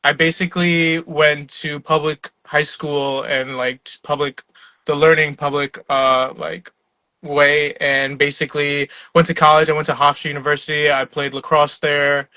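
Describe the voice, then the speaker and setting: Bright-voiced young man on a call. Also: fairly high pitch, level tone, normal speed, no room sound. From New York. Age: young adult. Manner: laid-back, filler-heavy, laughs often. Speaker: startup founder, guest. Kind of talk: casual conversational interview. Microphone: online live video call.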